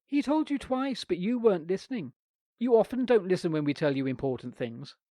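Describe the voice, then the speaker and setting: A stubborn little boy with a cheeky, matter-of-fact way of talking back, as a male reader puts him on. His voice sits high and lively, recorded dry and close. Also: a little fast, dry recording. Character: stubborn clever young boy, defiant.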